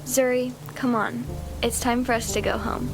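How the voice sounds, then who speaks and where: A woman's voice: a little high, little pitch movement, normal speed, music in the background, natural voice. A woman playing an anxious, reluctant young space traveler in an audio drama, skeptical and protesting. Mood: upset, reluctant.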